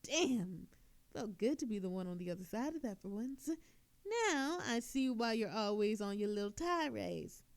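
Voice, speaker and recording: A woman's voice: mid-range voice, animated, moderate pace, lightly acted. A woman playing a smug, flirtatious thief in an audio roleplay, teasing and mocking a detective in a playful, theatrical, coy manner. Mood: smug, amused.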